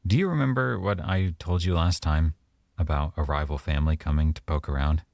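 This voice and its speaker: A man acting as a young mafia boyfriend in an intimate ASMR roleplay. His voice is extremely low and mellow, recorded dry and close. He talks in an unhurried, teasing way, coy with a veiled menace. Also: gravelly, no room sound. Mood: quietly threatening.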